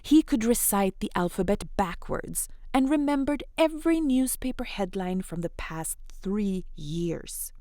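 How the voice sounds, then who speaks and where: A woman's voice: medium pitch, measured pace, hardly any pauses, no room sound, clean studio sound. A woman telling an interactive fairy tale to children in a playful, encouraging, chatty way. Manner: encouraging, animated, chatty asides to young listeners. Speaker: storyteller, host of interactive children's fairy tales.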